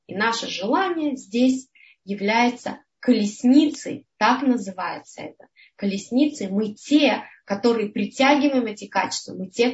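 Woman lecturer at medium pitch, patient teaching tone, slightly muffled lo-fi recording. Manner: explanatory, often checks in with "да?". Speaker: religious teacher. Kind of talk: lecture with slides.

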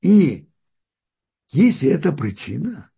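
A man giving a religious lesson on scripture, explaining slowly and often stopping to restart his sentences as he searches for words. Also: on the high side, sing-song, creaky, warm tone, breathy edge. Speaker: Torah teacher.

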